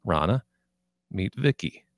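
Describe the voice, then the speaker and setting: A man playing a crude, cocky, domineering poker boss in a script table read, with a swaggering, deliberate delivery. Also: low voice, expressive, dry recording, clean studio sound. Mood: smug, crude.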